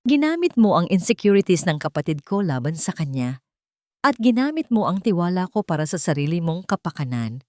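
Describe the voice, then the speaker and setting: A woman voicing a composed, defiant young woman in an audio love drama, calm, cutting and deliberate. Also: lowish voice, no room sound, studio quality. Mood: cold accusation.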